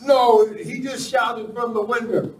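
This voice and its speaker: A man's voice: extremely high pitch, steady tone, slow pace. A man playing a flustered, folksy train engineer in a live radio western. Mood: uneasy, covering up. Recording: distant mic, echoey noisy hall.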